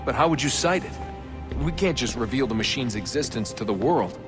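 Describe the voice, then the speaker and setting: An actor playing a wry, easygoing young American hero in a video game, talking in a casual, plain-spoken way. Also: male voice, mid-range voice, lively intonation, brisk, over music, close to an everyday voice. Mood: reasoning. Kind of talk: acted video game dialogue.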